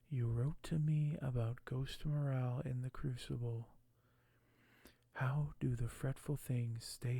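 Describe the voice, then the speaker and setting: Male ASMR creator, hushed and whispery, crackly low-energy fry, very dry close-mic sound, slow halting reading. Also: dry recording. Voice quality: hushed, soft, often whispered. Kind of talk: ASMR unboxing and reading aloud. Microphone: close microphone.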